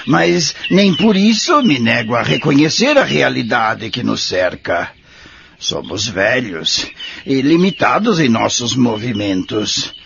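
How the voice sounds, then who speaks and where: A Brazilian man playing a wise, patient old man who gently admonishes his friend in an audio drama. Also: medium pitch, vocal fry. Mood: patient, firm. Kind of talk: audio drama dialogue, measured moralizing. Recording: muffled recording with faint noise.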